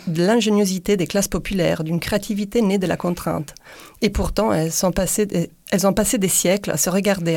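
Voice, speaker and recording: A woman with an Italian accent, hosting and reading a reflective segment in a measured way, with occasional repeated syllables. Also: mid-range voice, a little mellow, no room sound. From Italy. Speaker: podcast host. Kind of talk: reflective scripted commentary.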